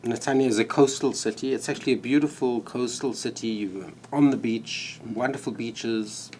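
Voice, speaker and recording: Male diplomat guest from South Africa, measured and polite, faint rumble behind. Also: darkish tone. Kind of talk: interview answers.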